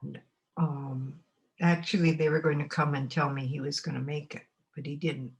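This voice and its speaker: Old lady in her 80s from montana, slow thoughtful storytelling, stops a lot to find words. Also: deep voice, flat delivery, darkish tone, lo-fi sound. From Montana, United States. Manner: unhurried, reflective, often pausing to find words. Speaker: oral history interviewee. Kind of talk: oral history recollection.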